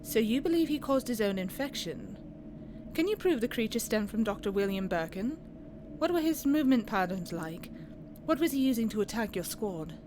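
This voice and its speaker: A woman's voice: a little high, animated, moderate pace, background noise, close to an everyday voice. A woman playing a businesslike interrogator who puts formal, pointed questions to a soldier in an audio roleplay. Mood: businesslike, probing. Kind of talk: dramatic audio roleplay acting.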